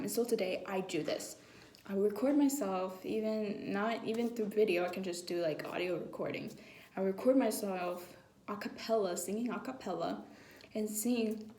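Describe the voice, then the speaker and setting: A woman's voice: mid-range voice, a little mellow. A woman giving casual singing advice to her viewers, chatty and rambling, with fillers and self-corrections. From Brazil. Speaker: singing tips vlogger. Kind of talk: casual advice talk to camera. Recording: echoey room.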